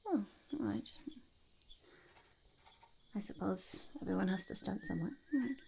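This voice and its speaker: A woman's voice: medium pitch, lively intonation, moderate pace, gravelly, darkish tone, a little hiss or hum. A woman voicing a fussy, impatient goblin boss in a whispered ASMR roleplay, muttering and repeating words. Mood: probing, approving. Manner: speaks of self in third person, repeats words, mutters approval.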